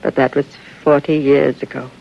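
An American actress playing a calm, wistful woman psychiatrist of sixty-six in a 1950s radio drama. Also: extremely low pitch, normal speed, creaky, heavily acted. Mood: wistful, gentle. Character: gentle, wistful sixty-six-year-old woman psychiatrist aboard spaceship. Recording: dull vintage radio recording.

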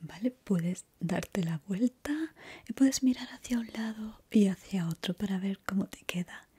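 A young adult woman with an accent from Spain whispers a soothing, unhurried ASMR barber roleplay in a breathy, reassuring way, close to the microphone. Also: medium pitch, dark tone. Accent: Spanish, from Spain.

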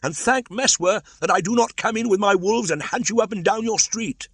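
A man's voice: sits high, moderate pace, creaky, a bit bright, no echo, voice pushed up, exaggerated voice. A man voicing a bold, defiant boy raised by wolves in an audiobook, with a commanding, scornful way of calling out. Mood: scornful shouting.

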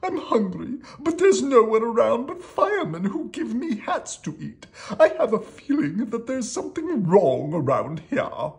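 A storyteller voicing a whiny, bossy, childish storybook king who complains loudly with drawn-out words. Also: sing-song. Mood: complaining. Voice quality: high-pitched, exaggerated.

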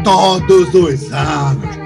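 A man's voice: high-pitched, drawn-out words, vocal fry, full character voice. A man acting a grandiose politician in an audio drama, with a declamatory public-speaking manner. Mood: solemn, sentimental. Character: grandiose male politician, pious public benefactor, easily rattled. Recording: music under the voice.

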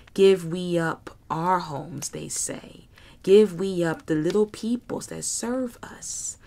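A low, rasping reptilian creature, as a woman puts him on, spitting out slow, broken phrases with whispered hisses. He sounds bitter and vengeful, a menacing figure from an audiobook fantasy. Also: a little mellow. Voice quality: rasping, hissing. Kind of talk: audiobook character voice.

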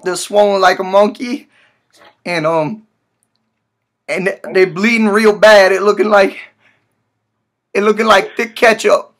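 A man's voice: high-pitched, pushed and bright, heavily acted. A man in his twenties playing a hurt, naive young man on a prank call, whining, pleading and stammering as he repeats himself. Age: 20s. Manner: whiny, pleading, repetitive, stammering. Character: hurt, frantic, naive young man with bleeding swollen lip. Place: small room indoors, at a desk.

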